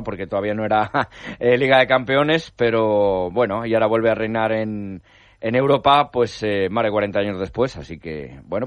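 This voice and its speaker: A man's voice: fairly low pitch, no room sound. Sports talk host, man, loose delivery with fillers, dry studio mic. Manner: hesitant, many fillers.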